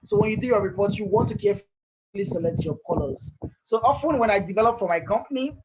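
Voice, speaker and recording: A man presenting a live software tutorial over a video call, talking in a loose, conversational way that often repeats and restarts phrases. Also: high-pitched, fast talker, muffled recording, noisy background.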